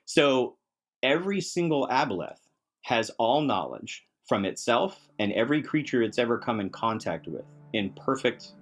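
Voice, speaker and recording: A man chatting excitedly and casually as a podcast guest and game designer, enthusiastic and quick to chuckle. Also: mid-range voice.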